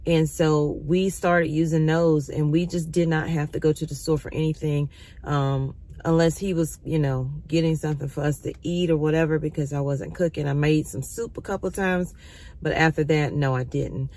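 Slightly raspy woman chatting in her car. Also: low-pitched, steady tone, moderate pace, warm tone. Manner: chatty, addresses viewers as y'all. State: recovering, occasional cough. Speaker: vlogger. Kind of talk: casual chat to viewers. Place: parked car. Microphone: phone camera at arm's length.

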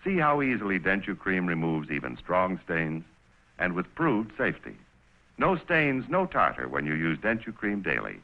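A man's voice: low voice, sing-song, normal speed, phone-quality sound. A man announcing a 1960s TV commercial with an emphatic, persuasive sales delivery, on a vintage film soundtrack.

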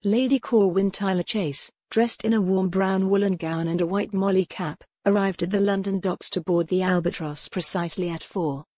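Female narrator for a historical romance audiobook: medium pitch, scripted read, close dry sound. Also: fairly even intonation, moderate pace, hardly any pauses, mellow, no echo.

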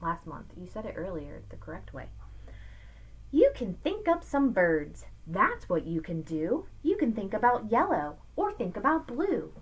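A middle-aged woman teacher giving an expressive, emphatic read-aloud lesson for children, recorded on a webcam in a room at home. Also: mid-range voice, measured pace, slight background noise, poor audio. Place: small room at home with bookshelves, indoors. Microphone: webcam at arm's length.

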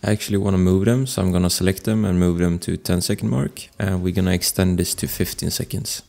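A man presenting a casual software tutorial as a voice-over, explaining informally step by step into a close microphone. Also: low-pitched, fairly even intonation, quick pace, vocal fry. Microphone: close desktop microphone voice-over. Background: music.

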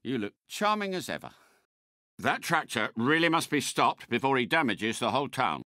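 A man's voice: mid-range voice, sing-song, normal speed, sharp tone, no room sound, clean studio sound. A man playing a suave British secret agent car in a video game, polite and unflappable, with clipped, precise diction. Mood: composed, courteous.

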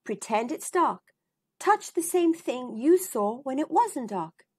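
A woman's voice: high-pitched, lively intonation, slow pace, darkish tone, husky, pitched above the performer's own voice, exaggerated voice. A woman voicing a kind, encouraging schoolteacher who instructs young children in a storybook.

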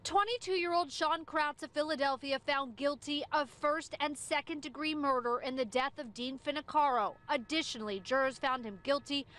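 A woman's voice: high voice, moderate pace, few pauses, fairly bright tone, a little hiss or hum. An American woman giving a live news report outdoors into a handheld microphone. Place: outdoors outside a courthouse.

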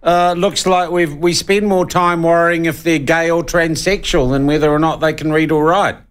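A man's voice: fairly high pitch, steady tone, moderate pace, fairly bright tone, clean tone. A man hosting a talk radio interview in a studio, blunt and opinionated in the way he talks. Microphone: studio broadcast mic.

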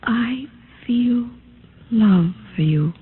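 An actress playing an earnest, emotional young woman in an old-time radio drama, halting and dramatic in delivery. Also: fairly high pitch, lively intonation, slight background noise. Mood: tender wonder. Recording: muffled, narrow-band vintage radio recording.

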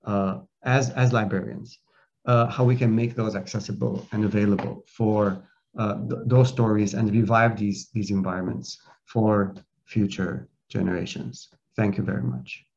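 Middle-aged male panelist, earnest lecturing with halting fillers, video call sound. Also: fairly low pitch, fairly even intonation, creaky, darkish tone. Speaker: conference panelist. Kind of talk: academic conference talk. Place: home office with curtains. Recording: muffled video call audio.

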